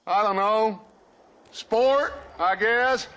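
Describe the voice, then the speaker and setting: An actor playing a charismatic gang leader in a western game, rhetorical and mock-genial with menace underneath. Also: male voice, high-pitched, extremely slow, a bit bright, clean tone, exaggerated voice. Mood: taunting menace. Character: charismatic, menacing male outlaw gang leader, grandiose talker. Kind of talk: dramatic western video game acting.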